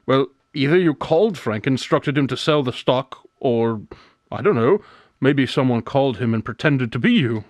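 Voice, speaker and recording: A male actor plays a shrewd private detective in a radio drama, smug and never ruffled. He teases in a measured, knowing way, with a mid-pitched voice and very varied intonation. The sound is dry. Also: expressive, no echo, close to an everyday voice. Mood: smug, teasing. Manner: wry, knowing, teasing, measured. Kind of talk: modern radio drama dialogue.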